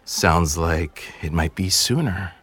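An actor playing a ruthless, menacing guard in an audio drama, speaking coldly and formally with threat. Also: male voice, deep voice, slow, a bit bright, slightly rough, full character voice. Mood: cold menace. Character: menacing, ruthless male enforcer guard loyal to his ruler.

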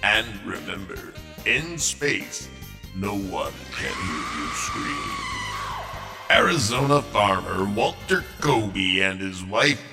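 A man's voice: deep voice, big pitch swings, slow pace, gravelly, raised voice, distant mic, over loud music. A man hosting a mock game show with scripted comic narration, in a theatrical, exaggerated announcer style.

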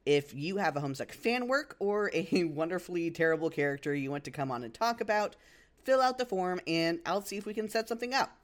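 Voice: medium pitch, lively intonation, a little fast. Casual podcast host making announcements.

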